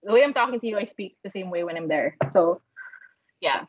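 A woman's voice: lowish voice, expressive, brisk, slightly rough. A Filipino woman in her twenties with a Filipino accent, chatting casually, laughing often and filling her talk with "like". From Philippines. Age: 20s. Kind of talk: casual chat. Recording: dull lo-fi sound.

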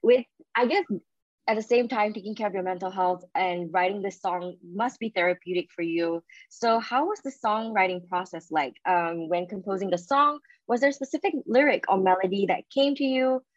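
A woman's voice: medium pitch, normal speed, no room sound. A woman hosting an interview show in a chatty, upbeat, informal way, recorded with a slightly dull sound. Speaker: show host.

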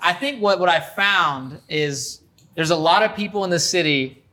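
A man hosting a casual interview, speaking haltingly and repeating words and phrases for emphasis. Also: a little high, lively intonation, bright, forceful tone. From Iran. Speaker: interview host.

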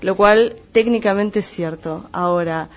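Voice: medium pitch, a little slow, highs cut off, faint music behind. A speaker from Argentina with an Argentine Spanish accent, explaining things step by step in a conversational analysis. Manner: didactic, clarifying terms step by step.

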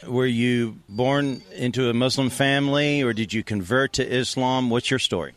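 A man acting as an evangelist interviewer, asking measured, probing questions about faith, with an occasional "uh". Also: medium pitch, fairly bright tone. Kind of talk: street interview with scripted intro.

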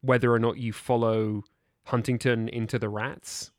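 A man's voice: mid-range voice, little pitch movement, moderate pace, no echo, clean studio sound. A man in casual podcast conversation, asking questions and reasoning things through.

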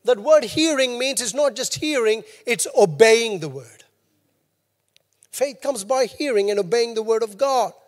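High-voiced pastor in a reverberant hall. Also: male voice, expressive, unhurried. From New Zealand. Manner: emphatic, repeats key lines. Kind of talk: sermon. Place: church hall.

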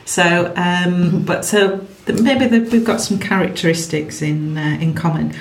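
A woman's voice: fairly low pitch, measured pace. A middle-aged woman with a strong Yorkshire accent, a retired careers advisor chatting with friends, jokey and full of restarts. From England. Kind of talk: casual group chat. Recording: echoey room, slightly distant mic, faint hiss.

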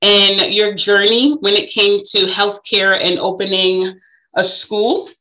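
A woman hosts a podcast interview with a bright, forward voice. She talks in an effusive, admiring way and laughs readily as she introduces her guest. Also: medium pitch, flat delivery, slow pace, poor audio. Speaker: podcast host. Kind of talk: upbeat interview hosting.

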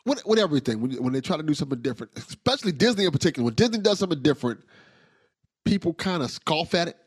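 A man hosting a casual podcast chat, his voice fairly high and swinging widely in pitch. He talks with eager enthusiasm and trips over his words as he gets going. The sound is dry and close. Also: big pitch swings, very fast, breathy edge, no room sound. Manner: enthusiastic, repeats words as he starts sentences. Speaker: podcast host.

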